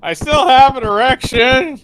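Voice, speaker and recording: A woman voicing a frustrated, pleading man in a horror visual novel, with a melodramatic, halting delivery. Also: sits high, a little hiss or hum, pitched above the performer's own voice. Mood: exasperated.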